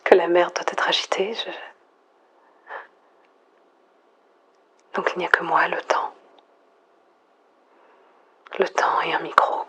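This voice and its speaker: A woman playing a lonely, frightened last survivor, murmuring a halting, introspective audio log in a breathy, husky near-whisper. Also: on the low side, steady tone, fairly bright tone, exaggerated voice. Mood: wistful, resigned. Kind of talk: hushed monologue, analog horror audio log.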